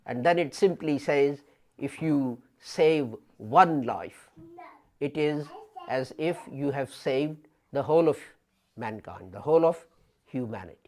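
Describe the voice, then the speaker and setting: A man in his fifties giving a sermon as an imam, speaking deliberately with pauses and rising emphasis, recorded in a small studio. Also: medium pitch, animated. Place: small studio before a printed backdrop. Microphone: fixed camera, head and shoulders framing.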